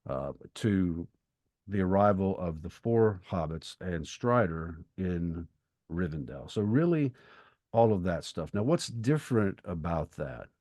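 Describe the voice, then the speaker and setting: Deep-voiced man in his fifties, podcast co-host. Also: expressive, unhurried, darkish tone, no room sound, studio quality. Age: 50s. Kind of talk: reflective conversational podcast talk.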